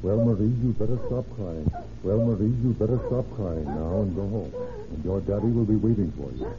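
An actor playing a patient American county sheriff in an old-time radio drama. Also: male voice, fairly low pitch, a little fast, lightly acted. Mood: coaxing. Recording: noisy lo-fi old radio recording.

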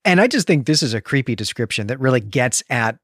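A man's voice: mid-range voice, big pitch swings, fast, a bit bright, dry recording. Male podcast co-host, mid-range voice, articulate and bookish, enthusiastic delivery, close dry sound. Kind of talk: book discussion podcast, conversational with some read-aloud passages.

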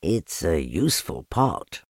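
A man's voice: deep voice, big pitch swings, takes it slowly, no echo, clean studio sound, pitched below the performer's own voice, exaggerated voice. A man voicing a kindly, simple, cheerful toy bear who explains things earnestly in a storybook reading.

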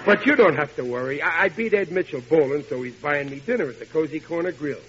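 An actor playing a bumbling, eager husband who stammers when flustered, in old-time radio sitcom style. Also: male voice, on the high side, lively intonation, quick pace, fairly bright tone. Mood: eager, devoted. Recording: muffled, hissy vintage radio broadcast.

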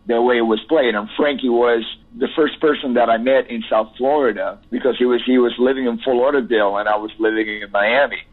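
A man in his sixties with a Cuban accent speaks in a husky, slightly breathy voice, restarting his sentences and filling pauses with "you know." His voice comes through a thin, tinny phone line with little low end. His pitch sits in an ordinary middle range for a man. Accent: Cuban Spanish. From Cuba. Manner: halting, repeats words, many "you know" fillers. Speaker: musician guest. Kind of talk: interview over the phone.

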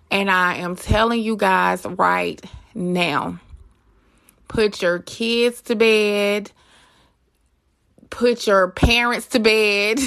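A woman hosting a podcast in a casual, effusive, informal way, quick to laugh. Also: medium pitch, gravelly, a bit bright, no room sound, studio quality. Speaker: podcast host.

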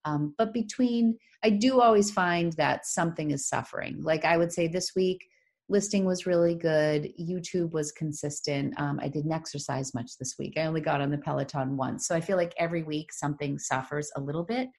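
A woman's voice: sits low, moderate pace, darkish tone. A woman in her forties from Massachusetts, co-hosting a podcast in rambling casual chat with frequent laughs. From Massachusetts, USA. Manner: chatty, rambling, laughs often. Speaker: podcast co-host.

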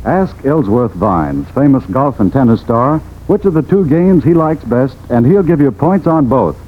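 A man's voice: medium pitch, big pitch swings, measured pace, slight background noise. An American man announcing commercials on 1940s radio, with a punchy, emphatic salesman's delivery. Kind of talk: 1940s radio advertisement, scripted pitch. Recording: old 1940s radio, narrow band.

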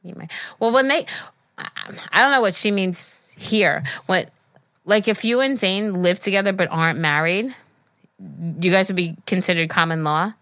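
An American woman, middle-aged, co-hosting a casual podcast where she chats and reads listener letters aloud with animated asides. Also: mid-range voice, moderate pace, no room sound, studio quality. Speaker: podcast co-host.

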